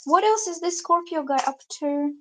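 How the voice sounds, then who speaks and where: A high-voiced woman reads tarot cards on a live stream. She talks in a chatty, loose way and drifts from point to point as she explains the cards. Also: normal speed, slight background noise. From Bulgaria. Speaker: tarot reader.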